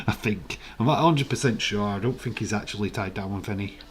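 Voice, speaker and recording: A man with a croaky, hoarse voice, hosting a casual live stream, chatting in a rambling way and reading out viewer comments. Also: fairly low pitch, normal speed, slight background noise.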